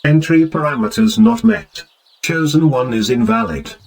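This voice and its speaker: A man's voice: fairly high pitch, sing-song, normal speed. A man playing a rigid robot guard in an audio drama, speaking in stiff, formal, clipped commands. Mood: rigid, officious. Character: rigid, officious robot guard made of gears. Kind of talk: scripted audio drama acting.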